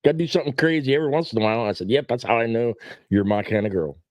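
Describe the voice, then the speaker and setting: A man's voice: medium pitch, animated, rapid-fire, a little mellow, dry recording. A man in his forties chatting casually on a livestream, rambling in a blunt, self-deprecating, salty way. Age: 40s. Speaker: livestream host.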